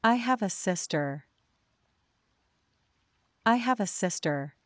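A woman reading simple example sentences for learners, slowly and deliberately, saying each one twice. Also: mid-range voice, animated, no room sound, studio quality. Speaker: English teacher.

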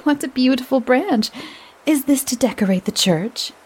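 A woman voicing a chatty, self-assured lady who speaks in bright, gushing exclamations. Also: mid-range voice, animated, moderate pace, creaky, breathy edge. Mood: gushing arch. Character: chatty, gushing upper-class English lady.

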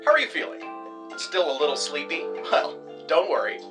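Loud guitar music plays under a low, expressive female voice. an audio drama captor, falsely polite and quietly sinister, with wide swings in pitch. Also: normal speed. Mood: mock-friendly, sinister. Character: smooth, mock-polite sinister captor on a screen.

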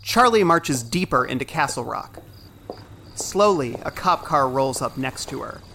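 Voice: medium pitch, animated, measured pace, pushed and bright, slight background noise. A narrator reading the stage directions of a suspense teleplay as scripted narration.

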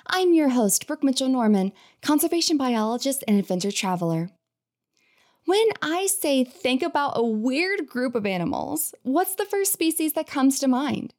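A woman's voice: high voice, big pitch swings, moderate pace, darkish tone. A woman hosting a podcast, reading an upbeat intro and chatting with a guest in a bubbly, enthusiastic way. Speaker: podcast host.

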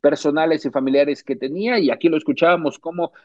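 A man's voice: on the high side, quick pace, no room sound, lo-fi sound, faint music behind. A man hosting a sports radio show, recapping the interview with energy. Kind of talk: commentary.

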